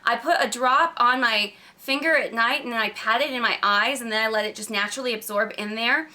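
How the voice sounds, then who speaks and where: Bright, slightly croaky woman chatting about favorites. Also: fairly high pitch, brisk. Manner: chatty, emphatic, gushing. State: slightly croaky from recovering from a cold. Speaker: beauty vlogger. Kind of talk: casual product review chat to camera.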